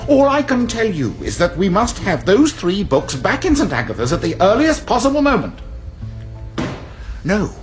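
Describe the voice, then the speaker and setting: A fussy, donnish librarian in a British radio drama. He is pedantic and precise with a lively, swooping pitch, and music sits clearly behind him. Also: normal speed. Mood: flustered, indignant. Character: fussy, pedantic Cambridge college librarian, easily flustered.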